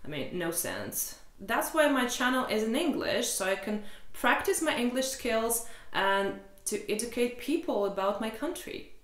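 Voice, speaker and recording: A woman's voice: fairly high pitch, sing-song, unhurried, fairly bright tone, reverberant. Exasperated Russian woman vlogging. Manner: exasperated, candid, sarcastic, swears freely. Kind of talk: casual chat to camera. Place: small room indoors.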